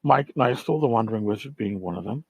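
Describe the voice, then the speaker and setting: A man's voice: fairly low pitch, animated, fast talker, husky, muffled recording. A middle-aged man hosting a gaming podcast, rambling through a casual rant in a blunt, profane, exasperated manner. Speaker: tabletop gaming podcast host. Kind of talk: casual ranting monologue.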